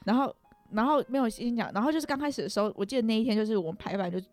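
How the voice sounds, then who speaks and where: Young taiwanese woman rambling through a personal story, self-deprecating, talks super fast, bit of music under it. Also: fairly high pitch, darkish tone. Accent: Taiwanese Mandarin. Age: young adult. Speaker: podcast guest.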